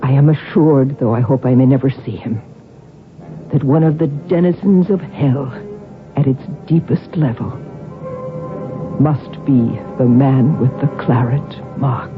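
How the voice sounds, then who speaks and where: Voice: mid-range voice, measured pace, lightly acted. A performer playing an aged, sorrowful Irish convent matron, reflective and solemn, in a 1970s radio drama. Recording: phone-like old radio, music behind.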